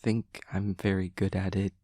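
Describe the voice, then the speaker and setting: Man acting as a low, hushed, awkward demon classmate. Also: flat delivery, moderate pace, no room sound, studio quality. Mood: unsure, tender. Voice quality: soft, breathy, husky. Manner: hesitant, stammering, stiffly formal and literal. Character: awkward, earnest young male demon classmate, anxious to comfort. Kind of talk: intimate audio roleplay, whispery ASMR-like acting.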